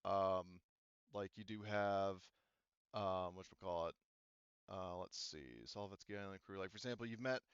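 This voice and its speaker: A man's voice: low-pitched, moderate pace, smooth, no room sound, lo-fi sound. A man narrating a tabletop roleplaying game as game master, casually improvising and often searching for words.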